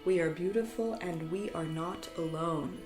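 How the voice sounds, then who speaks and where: A woman storyteller delivering poetic scripted narration in a solemn, deliberate way, with dramatic phrasing and emphatic repetition. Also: on the low side. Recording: echoey, reverberant sound with music behind. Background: music.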